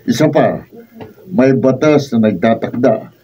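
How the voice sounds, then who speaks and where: A Filipino man playing a thoughtful, doubtful villager who reasons things out deliberately in a radio drama. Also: medium pitch, lively intonation, mellow, natural voice. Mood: pensive. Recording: muffled, distant mic, background noise.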